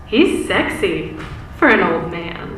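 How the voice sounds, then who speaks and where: A bold, playful flirt played by a stage actress. Her voice is bright and middle-pitched, with a slow delivery. It sounds distant and echoey in a theatre. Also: big pitch swings, slight background noise, exaggerated voice. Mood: playful, teasing. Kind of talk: live stage play acting.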